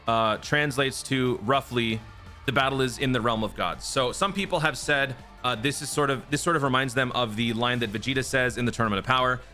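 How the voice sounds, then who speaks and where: Man, bright clear voice, chatty explainer, light music underneath. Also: medium pitch. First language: not Japanese. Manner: chatty, explanatory, frequent "right?" tags. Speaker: YouTube gaming channel host. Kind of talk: casual commentary and speculation.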